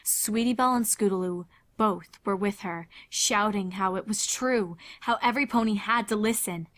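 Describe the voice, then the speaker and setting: A woman's voice: medium pitch, moderate pace, fairly bright tone. A woman reading fan fiction aloud as a dramatic scripted narration. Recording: music behind the voice. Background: background music.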